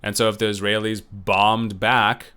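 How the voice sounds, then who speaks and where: A male professor lecturing in a loose, chatty way, with lots of ums and restarts. His voice sits fairly low yet sounds bright and clean. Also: level tone, unhurried, clean tone. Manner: loose, conversational, many fillers and restarts; unsure about names, self-correcting. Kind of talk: recorded lecture.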